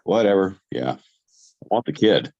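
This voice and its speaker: Deep, mellow brooding young man, acted. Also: lively intonation, measured pace, no echo, muffled recording. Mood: bitter, resigned. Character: brooding, bitter wealthy young man, drinking. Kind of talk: table read acting.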